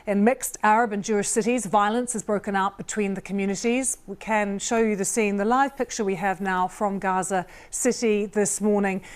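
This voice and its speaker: A woman presenting live television news with a brisk, clear newsreader delivery. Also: mid-range voice.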